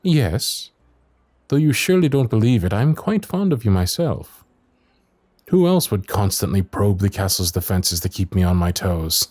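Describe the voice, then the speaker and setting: A man's voice: fairly low pitch, darkish tone. A man playing a loyal, protective royal knight in a fantasy ASMR roleplay, speaking in courtly phrases, both teasing and earnest. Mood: fond, wry. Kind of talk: ASMR roleplay acting, fantasy audio drama.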